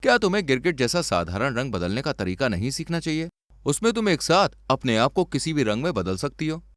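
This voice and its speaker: A man's voice: mid-range voice, very animated, fairly quick, a bit bright, dry recording, studio quality. A man playing a greedy, exasperated poor village husband in a cartoon story, sarcastic and grumbling. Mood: bewildered, protesting. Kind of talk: Hindi cartoon story dubbing.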